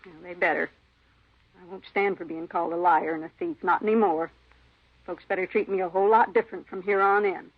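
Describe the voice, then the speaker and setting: A woman's voice: lowish voice, fairly even intonation, a little fast. An actress playing an aggrieved, defiant cleaning woman with plaintive, insistent, clipped folksy phrasing in a 1950s radio drama. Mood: indignant, defiant. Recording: muffled vintage radio recording.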